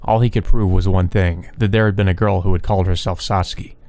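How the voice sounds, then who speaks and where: A man's voice: low-pitched, fairly even intonation, quick pace, darkish tone. A man reading visual novel narration aloud as a let's play host.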